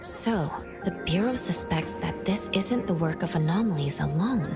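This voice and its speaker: Lively, earnest young woman investigator. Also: fairly low pitch, normal speed. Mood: curious, earnest. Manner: questioning, earnest. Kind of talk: anime game voice acting. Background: background music.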